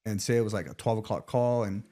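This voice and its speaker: A man's voice: fairly low pitch, steady tone, quick pace, dark tone. A man from Arizona hosting a podcast, chatting loosely and rambling with lots of fillers and jokes. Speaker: podcast host. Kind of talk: casual interview chat.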